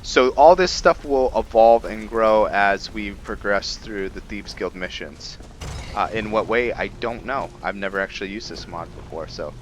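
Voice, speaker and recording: A man's voice: fairly low pitch, normal speed, a little hiss or hum. A man giving casual, laid-back roleplay commentary on a video game, talking conversationally as he thinks aloud. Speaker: gaming video host. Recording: game music behind. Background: faint game music.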